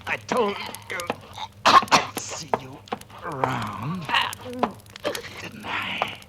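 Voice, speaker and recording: A man's voice: medium pitch, sing-song, gravelly, exaggerated voice. An actor playing a menacing crook who taunts his victim with mocking politeness in a 1940s radio suspense drama. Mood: gloating menace. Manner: slow, taunting, falsely polite. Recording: noisy old radio recording.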